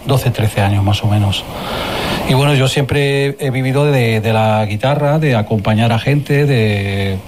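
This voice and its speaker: A man's voice: mid-range voice, measured pace, noisy background. A man born in France, a guitarist, speaking hesitantly and modestly in a radio interview. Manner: hesitant, humble. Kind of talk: casual interview.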